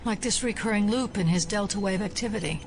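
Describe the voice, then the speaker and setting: A woman playing a skeptical FBI agent and doctor in an audio drama, speaking in a measured, clinical way. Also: medium pitch, faint music behind, close to an everyday voice. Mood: probing, firm.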